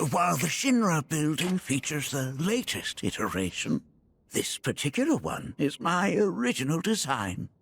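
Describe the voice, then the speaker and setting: A man playing a genial, learned elderly scholar in a video game, speaking in a courtly, grandfatherly way with a creaky aged voice. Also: a little high, a bit bright, a little hiss or hum, heavily acted. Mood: proud, inviting. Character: genial elderly male planetology scholar, chuckling, eccentric and learned. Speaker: teacher. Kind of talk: video game cutscene acting. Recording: music behind the voice.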